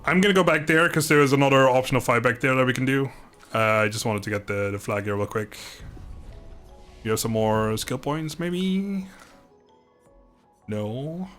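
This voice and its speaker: A man's voice: mid-range voice, a bit bright. Casual male game streamer, music underneath. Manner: informal, chatty, halting, thinks aloud. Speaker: video game livestreamer. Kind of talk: casual livestream chat over gameplay.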